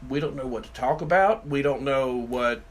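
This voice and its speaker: A man's voice: medium pitch, moderate pace. Folksy middle-aged man, rambling storyteller. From Virginia, USA. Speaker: podcast co-host, city councilman. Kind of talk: casual chat.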